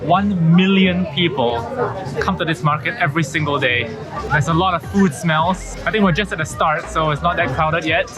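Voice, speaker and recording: A young man vlogging a food tour, describing dishes and local food culture in a relaxed, explanatory way. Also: fairly high pitch, moderate pace. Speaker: travel vlogger. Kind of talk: casual food vlog commentary. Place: busy food market. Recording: music and busy market crowd behind.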